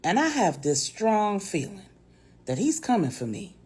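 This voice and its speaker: A woman storyteller voicing a calm, devout, quietly steely woman who chides gently and speaks with assurance. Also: medium pitch, a little slow, husky, full character voice. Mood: earnest, persuasive.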